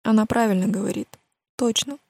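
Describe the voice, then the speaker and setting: A woman's voice: medium pitch, fairly quick, creaky, warm tone, dry recording. A woman voicing an earnest, dreamy young idealist in an audiobook, speaking in declarations. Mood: earnest conviction.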